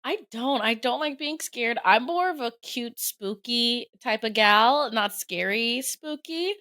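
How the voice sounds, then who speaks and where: A young woman chats as a podcast co-host, her voice a little raspy and drowsy from allergies. She talks in a loose, animated way, her pitch rising and falling widely and filling with "like." The tone is casual and friendly. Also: measured pace. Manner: chatty, animated, says "like" often. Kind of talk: casual chat.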